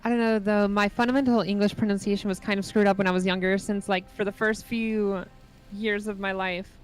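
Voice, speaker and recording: A young woman in casual chat, explaining things in a matter-of-fact way with hesitant pauses. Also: medium pitch, level tone, clear, resonant tone, soft background music, low-quality recording.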